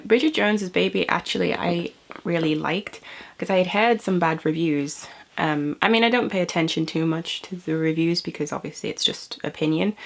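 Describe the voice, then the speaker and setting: A young British woman chats to camera in a low, slightly gravelly voice. She sounds a bit stuffy and sniffly from a cold, rambling from one thing to the next with frequent little laughs. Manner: chatty, rambling, laughs often. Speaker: vlogger. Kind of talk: casual chat to camera.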